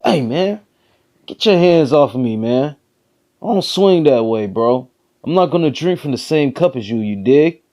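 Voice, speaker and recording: A man's voice: medium pitch, lively intonation, normal speed, mellow, natural voice. A man playing an irritable, laid-back young anime-fan hero in an amateur audio drama, talking in casual, indignant slang.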